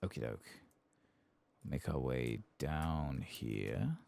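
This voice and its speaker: A soft-spoken man murmuring relaxed ASMR commentary to himself as he plays a game. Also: rumbling low, very slow, creaky. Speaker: game streamer. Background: keyboard typing and clicking.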